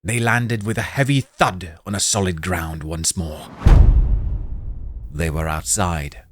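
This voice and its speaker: A man narrating a spooky fantasy story in a dramatic, expressive scripted reading. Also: sits low, slow pace, noisy background. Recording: music playing under voice.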